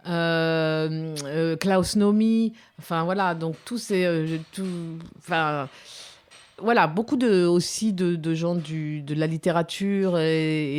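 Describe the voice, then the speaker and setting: A woman's voice: lowish voice, darkish tone. A woman in her fifties, a documentary filmmaker, giving reflective interview answers with many hesitations and restarts. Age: 50s.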